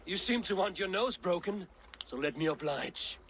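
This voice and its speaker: An actor playing a calm, good-humoured Viking warrior hero in a video game. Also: male voice, sits high, a crowd in the background. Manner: calm, measured. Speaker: game protagonist.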